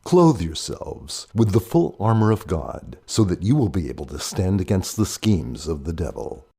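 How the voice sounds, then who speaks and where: Deep-voiced man narrating solemnly. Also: big pitch swings, dark tone, slightly rough. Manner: dramatic, solemn, deliberate storytelling. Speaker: author reading own novel. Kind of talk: scripted audiobook narration.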